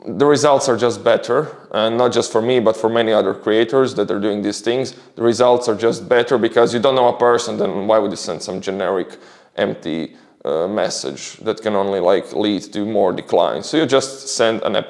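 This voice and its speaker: A man's voice: mid-range voice, darkish tone. Man giving upbeat career tips. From Croatia. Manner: upbeat, explanatory, enthusiastic. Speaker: creator giving career advice. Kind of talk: casual advice monologue.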